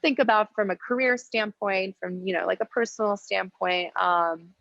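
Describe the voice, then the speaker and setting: A woman in her twenties from the United States, a guest athlete giving measured, thoughtful answers in a podcast interview, with frequent pauses to think. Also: mid-range voice, gravelly, fairly bright tone, no room sound, lo-fi sound. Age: 20s.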